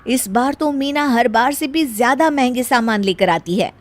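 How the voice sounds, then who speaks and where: A woman's voice: fairly high pitch, normal speed. A woman storyteller reading scripted narration for a story.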